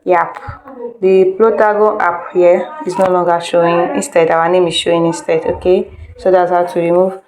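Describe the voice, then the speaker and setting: A woman's voice: on the low side, flat delivery, moderate pace, a crowd in the background, low-quality recording. A woman instructor giving an informal step-by-step tutorial, repeating instructions and checking in with listeners. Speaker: video editing instructor.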